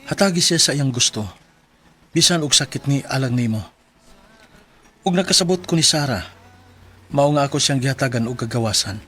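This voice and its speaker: A man's voice: medium pitch, a bit bright, lightly acted. A man acting an earnest, plaintive young boyfriend in a radio drama, with a Cebuano Filipino accent.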